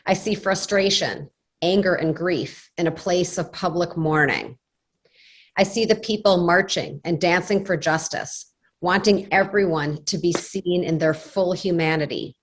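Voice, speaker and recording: A woman's voice: fairly low pitch, a little slow. Woman solemnly reciting a poem.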